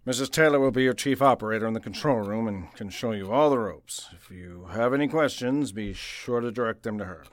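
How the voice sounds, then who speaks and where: A man's voice: medium pitch, fairly quick, hardly any pauses, no room sound, natural voice. Man playing blustering, absent-minded office boss. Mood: flustered. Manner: flustered, hesitant, stammering. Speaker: actor in a radio play. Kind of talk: old-time radio play acting.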